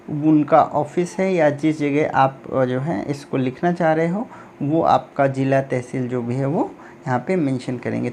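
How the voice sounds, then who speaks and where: A man's voice: on the high side, darkish tone. Male teacher explaining step by step, faint noise. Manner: repetitive, step-by-step explaining with frequent check-ins. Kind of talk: explanatory screen-recorded exam lesson. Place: recorded at a computer.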